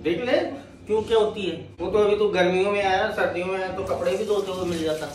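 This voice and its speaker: A middle-aged man with a fairly high voice, teasing and joking with his family as he is filmed up close on a phone. Steady noise from the car and the street sits behind him. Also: fast talker, rough recording. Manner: teasing, playful, animated storyteller. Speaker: family uncle on a vlog. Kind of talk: casual joking family chat. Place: inside a car and home, phone camera close.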